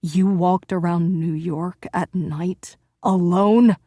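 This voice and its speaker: A woman narrator voicing an angry, possessive young husband in an audiobook, speaking in a low, menacing way. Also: fairly even intonation, slow pace, mellow, no echo, clean studio sound. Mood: cold anger. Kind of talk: audiobook character voice.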